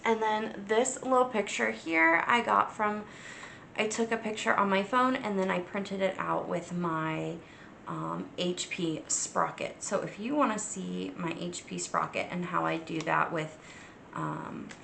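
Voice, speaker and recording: A woman hosting a casual crafting vlog, stuffy and sniffly from a cold, talking in an unhurried way with plenty of ums and restarts. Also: mid-range voice, creaky, a bit bright, roomy sound, a little hiss or hum. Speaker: crafting channel host. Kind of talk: casual show-and-tell vlog.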